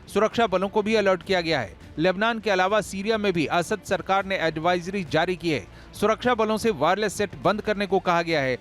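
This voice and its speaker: Male news narrator, high voice, emphatic and dramatic scripted delivery over background music.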